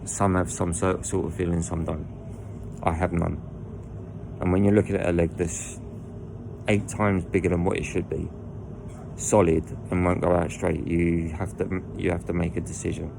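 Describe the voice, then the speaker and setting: A man with a croaky voice, telling his own story in a rambling way full of restarts and asides. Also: low-pitched, level tone, normal speed, noisy background. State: croaky voice from lying down. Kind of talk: candid personal storytelling. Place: indoors lying down at home.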